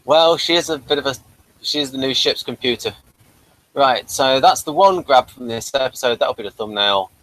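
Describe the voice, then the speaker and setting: Male review host, mid-pitched voice, casual and conversational, halting delivery. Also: fairly bright tone, poor audio. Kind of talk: casual chat in a remote co-hosted review.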